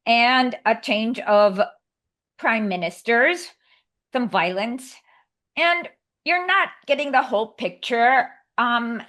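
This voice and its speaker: A woman with a slightly high voice hosts an interview over a video call. She talks casually, with frequent ums. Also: fairly bright tone. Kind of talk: hosting an interview.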